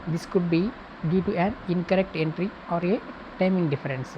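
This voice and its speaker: A woman with a low voice teaches in a step-by-step tutorial style. She speaks in short, halting segments and often restates her phrases. The recording has clear background noise and hum. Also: highs cut off. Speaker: accounting tutor. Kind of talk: step-by-step tutorial, reading points and explaining.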